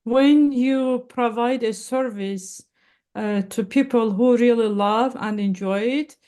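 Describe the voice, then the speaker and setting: A young woman, founder of a nonprofit, explaining her work in a measured way over a video call. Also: mid-range voice, mellow, clean tone. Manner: measured, explanatory, with light laughs and fillers. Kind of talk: casual video-call interview. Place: Silicon Valley, indoors.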